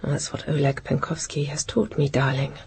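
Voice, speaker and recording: An actress playing a brisk, self-possessed 1960s British housewife and mother in a radio drama. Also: low-pitched, flat delivery, creaky, darkish tone, a little hiss or hum. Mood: calm resolve. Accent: British English.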